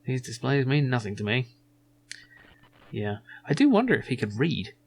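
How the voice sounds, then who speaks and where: A man's voice: medium pitch, very animated, moderate pace, vocal fry, a little mellow, husky. A man giving casual let's play commentary, thinking aloud in a wry, musing way. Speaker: video game streamer.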